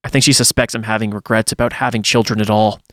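A man's voice: fairly low pitch, level tone, fast, fairly bright tone, no room sound, clean studio sound. A man reading a first-person horror story aloud in a dramatic storytelling style, as a podcast host would.

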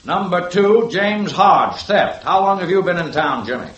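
An actor playing a brisk male police sergeant in a 1950s radio drama, giving crisp, matter-of-fact commands. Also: high voice, expressive. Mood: commanding. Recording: echoey old radio recording with hiss.

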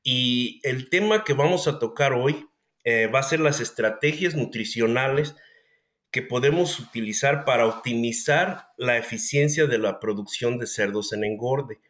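A man's voice: medium pitch, a little slow, fairly bright tone. A man hosting a podcast interview, polite and full of fillers as he introduces the guest. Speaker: podcast host. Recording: roomy echoey audio.

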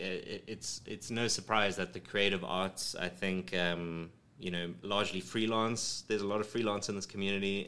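South african guy rambling thru an interview, lots of ums, kinda low voice. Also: a bit bright. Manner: rambling, many ums and restarts. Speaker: creative studio head, guest. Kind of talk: casual interview chat. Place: home studio. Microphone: studio microphone.

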